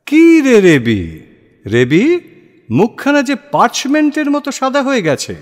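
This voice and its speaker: A man voicing a blustering, mocking older gentleman in an audio play, loud and teasing. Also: extremely high pitch, wildly swooping pitch, measured pace, voice pushed up, exaggerated voice. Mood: mocking, urgent. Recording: long hall-like reverb.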